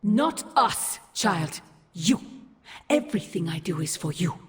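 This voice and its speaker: A woman's voice: fairly low pitch, very animated, a little slow. A woman playing an imperious, scornful sorceress mother in a video game, speaking in grave, archaic, theatrical phrases. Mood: fervent, commanding. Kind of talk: dramatic video game acting. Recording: long cavernous reverb over music.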